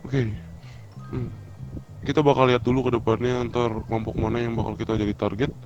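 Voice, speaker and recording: A man's voice: mid-range voice, flat delivery, fairly quick. An Indonesian man improvising casual in-character roleplay chat in a hesitant, informal way. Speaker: player in an online crime roleplay. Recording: dull voice chat under music. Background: music.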